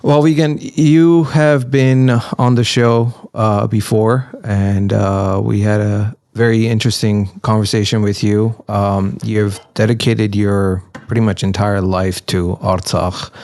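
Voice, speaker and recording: A man hosting a podcast interview in a conversational, somewhat halting manner. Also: lowish voice, flat delivery, a little mellow, dry recording. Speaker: podcast host.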